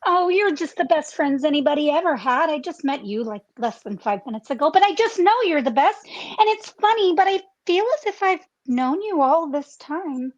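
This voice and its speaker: A woman's voice: high-pitched, lively intonation, moderate pace, few pauses, highs cut off. A woman acting an earnest, innocent, tender-hearted young girl with gushing, girlish delivery in a parody radio play.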